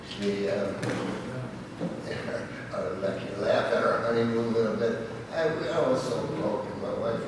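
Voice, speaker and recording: A man's voice: on the low side, steady tone, unhurried. An older man giving a folksy, chuckling talk to a club meeting, with many fillers and a habit of adding "okay?". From Michigan, raised in Southern California. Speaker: guest speaker at a club meeting. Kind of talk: informal anecdotal talk. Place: large echoey meeting hall. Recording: echoey hall from far off with noise.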